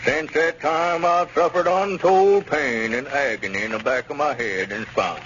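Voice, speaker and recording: Self-pitying smooth talker, bit high, muffled old radio comedy. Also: male voice, a little slow, fairly bright tone. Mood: aggrieved, wheedling. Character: wheedling, self-pitying injured plaintiff, small-town squire. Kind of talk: 1930s radio comedy acting. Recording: muffled, noisy 1930s radio recording.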